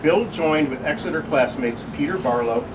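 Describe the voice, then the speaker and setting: A man with a slightly high voice reads a light, humorous tribute, slipping in wry asides as he goes. He is picked up from some distance, with very loud room noise around him. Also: normal speed. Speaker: speech reader at a birthday celebration. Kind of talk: reading a humorous tribute speech with ad-libbed asides.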